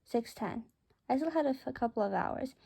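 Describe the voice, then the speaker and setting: A woman narrates a children's story in the first person. Her voice sits fairly high, with a very mellow, rounded tone. She reads it out as a storyteller. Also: lively intonation, moderate pace, vocal fry, breathy edge. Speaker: narrator reading a story aloud.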